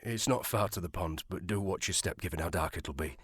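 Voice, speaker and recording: Low-voiced, cautious manservant. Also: male voice, fairly quick, fairly bright tone, breathy edge, no room sound. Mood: wary, concerned. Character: deferential, cautious manservant in a period mystery. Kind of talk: period audio drama acting.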